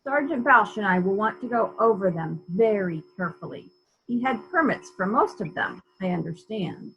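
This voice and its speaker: A brisk female police inspector acted by a woman with a medium-pitched voice, measured and probing. She speaks with authority in a murder mystery reading. There is faint noise and ambience behind her. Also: expressive, fairly bright tone, lo-fi sound.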